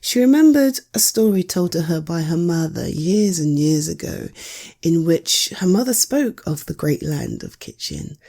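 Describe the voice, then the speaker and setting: A woman's voice: on the low side, big pitch swings, unhurried, gravelly, mellow. A woman with an English accent improvising an interactive bedtime story in a playful ASMR style, as if chatting with a listener.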